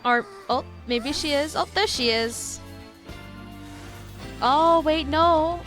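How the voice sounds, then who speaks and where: A woman's voice: high-pitched, slow pace, fairly bright tone, soft background music. A woman giving casual reaction commentary as she streams a game.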